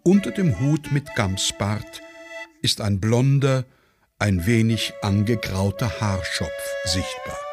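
A man narrating a radio play, reading stage directions in a measured, deliberate way. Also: lowish voice, wildly swooping pitch. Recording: dry studio sound with faint music.

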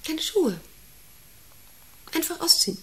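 An actress in a radio play as a domineering, eccentric factory director who rambles, commands and asks intrusive questions. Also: female voice, very high voice, sing-song, slow, exaggerated voice, rough recording. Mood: commanding, agitated. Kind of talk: German radio play acting.

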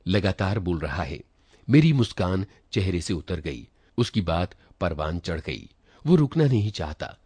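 A man narrating a literary short story in the first person, in an expressive, reflective reading style. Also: deep voice, breathy edge, no room sound. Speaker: story reader.

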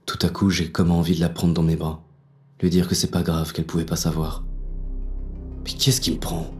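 A man playing a very deep-voiced, hard-boiled inspector. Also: flat delivery, moderate pace, faint music behind, lightly acted. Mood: troubled, resolute. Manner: gritty, coarse, brooding inner monologue. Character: hard-boiled, paranoid male police inspector on the run. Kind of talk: first-person noir audio drama narration.

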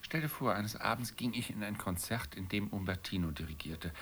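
A man's voice: on the low side, brisk, a bit bright, a little hiss or hum, close to an everyday voice. An actor playing a weary, middle-aged middle-class man who grumbles and muses wryly in a naturalistic radio drama. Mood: wry reminiscence.

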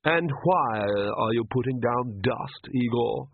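A man playing a suave, condescending young vampire aristocrat in an audiobook, speaking slowly and with polish. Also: medium pitch, animated, phone-quality sound, slight background noise. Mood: icy, irritated.

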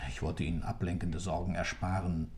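A man playing a composed schemer who recounts events in a radio play, measured and deliberate. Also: low voice, flat delivery, a little mellow. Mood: calm, defensive.

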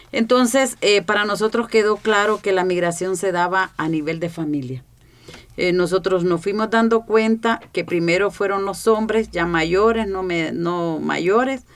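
A woman from Honduras with a Honduran Spanish accent, a guest on a radio panel calmly recounting the history of her migrant-family group. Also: mid-range voice. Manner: calm, recounting, fillers "eh".

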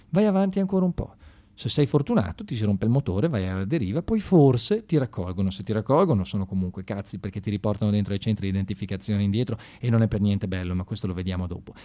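Male stage monologist, mid-pitched, animated and sardonic, conversational storytelling. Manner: animated, swinging from shouted exclamations to hushed asides; sardonic, colloquial. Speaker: theatre monologist. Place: live theatre performance.

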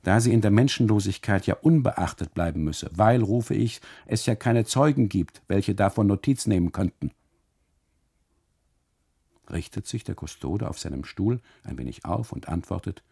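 A man narrating a literary radio monologue in the first person, with a gravelly, husky voice and an emphatic, rhetorical delivery of long winding sentences. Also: on the low side, animated, normal speed, dark tone.

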